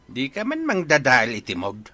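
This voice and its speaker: A man's voice: mid-range voice, huge pitch swings, normal speed, sharp tone. A man playing a hot-tempered, mocking middle-aged father who bullies and taunts people in a radio drama. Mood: irritated, bossy. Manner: taunting, blustering. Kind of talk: Ilocano radio drama acting.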